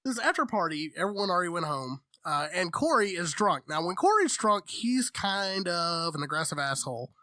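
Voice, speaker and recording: A man in his thirties co-hosting a casual podcast, telling stories with lots of swearing. His delivery is animated and chatty, and the sound is close and dry. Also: high voice, normal speed, hardly any pauses, dry recording. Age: 30s. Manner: profane, animated storyteller. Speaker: podcast co-host. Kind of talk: casual storytelling chat.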